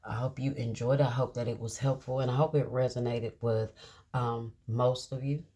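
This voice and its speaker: Husky-voiced woman giving a tarot reading. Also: extremely low pitch, fairly even intonation, mellow. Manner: drawn-out, reassuring, many "okay?" check-ins; reads card meanings aloud slowly. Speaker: tarot reader. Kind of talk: casual tarot reading to viewers.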